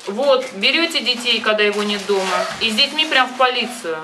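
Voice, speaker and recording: A woman's voice: fairly high pitch, expressive, a little fast. A woman giving advice in a phone conversation, insistent and emphatic, often repeating herself for stress. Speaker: advisor and host of the phone conversation. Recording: distant microphone with loud background noise.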